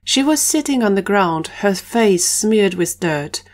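A woman's voice: mid-range voice, animated, measured pace. A woman narrator reading scripted storytelling for an audio drama.

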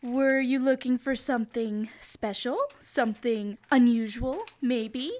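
A young actress plays a teasing housewife from an old radio comedy. Her slightly high voice is slow and knowing as she quizzes her husband. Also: darkish tone, lightly acted. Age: young adult. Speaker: high school student actor. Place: school library room, live staged radio show. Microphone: shared stand microphone.

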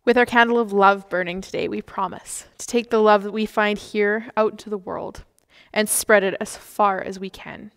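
A woman's voice: mid-range voice, steady tone, moderate pace, clean tone. Woman worship reader, mid-range voice, scripted liturgical delivery, long church reverb. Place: church sanctuary, indoors.

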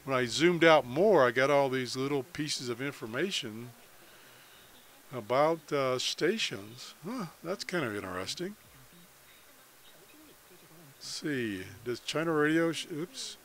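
A man's voice: mid-range voice, lively intonation, takes it slowly, pauses often, a bit bright. An American man giving a casual screen-share tutorial as a hobbyist, unscripted and thinking aloud as he goes. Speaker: amateur radio hobbyist demonstrating a website.

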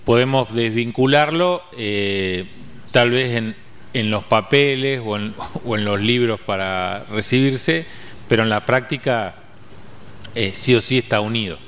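A man's voice: medium pitch, a little slow, fairly bright tone. An Argentine man in his forties interviewing conversationally outdoors, hesitantly thinking aloud through long questions. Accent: Argentine Spanish. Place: outdoors in a street-side public space. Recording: faint outdoor ambient noise.

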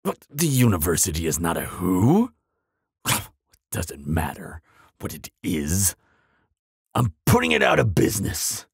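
A man voicing a proud, grumpy but soft-hearted bogeyman in an audio roleplay, with a gravelly rasp and a pompous, easily flustered delivery. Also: low voice, wildly swooping pitch, unhurried, long pauses, dry recording, heavily acted. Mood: exasperated. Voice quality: raspy, gravelly. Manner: pompous, flustered stammering, dramatic.

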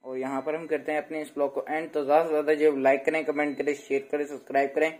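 A man's voice: on the high side, level tone, fast, a little mellow. A young man talking to camera in a casual home vlog, chatty and full of filler phrases, filmed on a phone held close. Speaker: vlogger. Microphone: phone held close, selfie framing.

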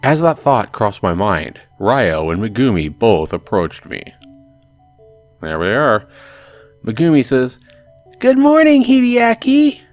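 A man's voice: lowish voice, sing-song, takes it slowly, long pauses, no room sound. A man hosting a let's play, casually commenting and reading a visual novel aloud. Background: music.

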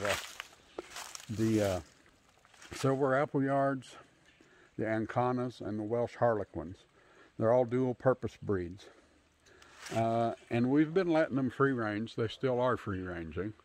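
A man in his fifties giving a casual, unscripted tour outdoors on a handheld phone, pausing often as he talks. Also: medium pitch, darkish tone, a little hiss or hum. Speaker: homesteader hosting a channel. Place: outdoors in bare winter woods. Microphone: handheld phone at arm's length.

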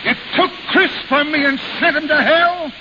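An actor playing a furious, vengeful carnival barker in a 1930s radio drama, menacing and accusing. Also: male voice, extremely high pitch, normal speed. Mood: bitter. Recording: hissy, muffled 1930s radio recording.

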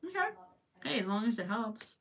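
A woman's voice: fairly high pitch, very animated, normal speed, fairly bright tone, far from the mic. A young woman with a Minnesota accent chatting casually while crocheting on a livestream, rambling with joking asides. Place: small indoor craft room with yarn shelves.

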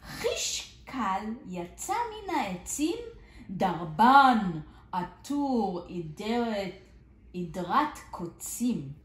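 A middle-aged woman, a teacher, reading a picture book aloud to children in an animated way, adding short English glosses. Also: mid-range voice, reverberant. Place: indoor living room, seated in armchair.

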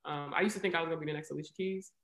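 A woman's voice: deep voice, steady tone, very fast. A young woman in her twenties, speaking as an interview guest over a video call from her living room, candid and reflective, with lots of "um" and "right?". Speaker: interview guest, athlete and poet. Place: living room at home, indoors. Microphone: video call webcam. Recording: roomy echo on a video call.

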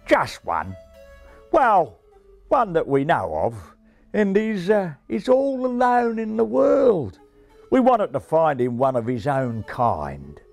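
A man voicing a brash, excitable storybook pigeon with an Australian accent and a blunt, slangy manner. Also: a little high, slow, creaky, faint music behind, pitched above the performer's own voice, full character voice. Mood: eager.

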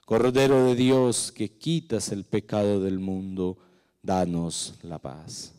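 A middle-aged male priest leads prayer and offers blessings at a Mass. His voice sits on the low side and is smooth and resonant. He speaks into a handheld microphone at the altar. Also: steady tone, slow, dark tone. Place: indoor chapel, at the altar.

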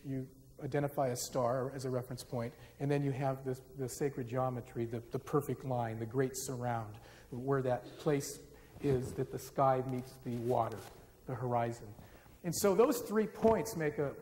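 A middle-aged man gives a public lecture on stage in a large dark auditorium, speaking into a podium microphone in a conversational, self-deprecating way. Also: mid-range voice. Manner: conversational, self-deprecating, gets the audience singing. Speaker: forum speaker. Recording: reverberant hall with faint hiss. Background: live audience.

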